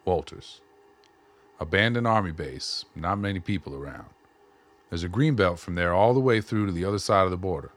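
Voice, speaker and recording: A man's voice: low voice, normal speed, lightly acted. A man playing an easygoing, shrewd older smuggler in a radio drama, talking in a folksy, plain-spoken way. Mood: assured, folksy. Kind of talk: audio drama acting.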